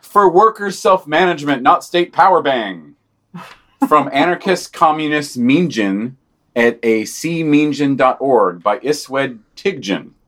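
A man's voice: fairly high pitch, lively intonation, measured pace, a bit bright. A man co-hosting a podcast, reading a scripted news digest with quotations and brief asides. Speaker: podcast co-host.

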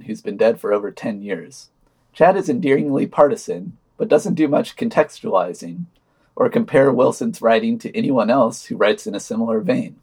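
A man's voice: mid-range voice, slightly rough. A man reading a scripted podcast news digest with wry commentary and dry, sardonic asides.

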